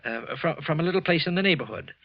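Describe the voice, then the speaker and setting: A man's voice: fairly high pitch, expressive, fast, creaky. A man acting a nervous, resentful clerk who secretly killed, with a hesitant, evasive manner, in an old-time radio suspense play. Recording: muffled vintage radio recording, background music.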